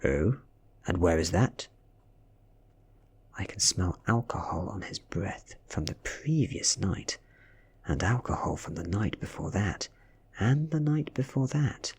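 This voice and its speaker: Very husky, soft-spoken British man. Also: lowish voice, animated, measured pace. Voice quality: soft, breathy, near-whispered. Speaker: audiobook reader. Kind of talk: soft-spoken ASMR story narration.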